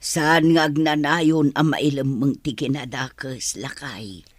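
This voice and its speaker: A man acting in an Ilocano radio drama as a gentle, moralizing village parent who gives earnest advice. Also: mid-range voice, fairly even intonation, slow, no room sound. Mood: concerned.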